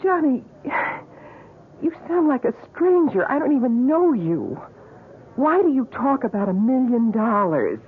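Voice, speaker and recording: Anxious young woman for vintage radio drama: pleading, cautious, animated, narrow telephone-like sound. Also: fairly high pitch, measured pace. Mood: uneasy, pleading. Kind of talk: old-time radio drama acting. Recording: tinny, muffled, hissy radio recording.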